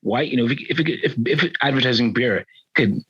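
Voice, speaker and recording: A man in his fifties, co-hosting a casual podcast, animated and playfully mock-indignant. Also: medium pitch, brisk, slightly rough, dull audio. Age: 50s. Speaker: podcast co-host. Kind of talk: casual chat. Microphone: remote call.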